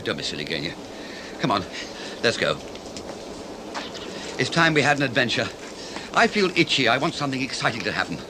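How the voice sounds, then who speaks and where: An actor playing a restless, grumbling middle-aged writer in a radio play, blustery and thinking aloud. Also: male voice, medium pitch, big pitch swings, a little fast, long pauses, natural voice. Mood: restless, brusque. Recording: noisy outdoor sound effects behind.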